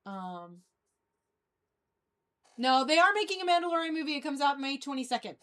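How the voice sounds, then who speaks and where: A woman hosting a livestream in a casual, rambling fan chat, halting and often trailing off mid-thought. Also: sits high, sing-song, fairly bright tone. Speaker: livestream host.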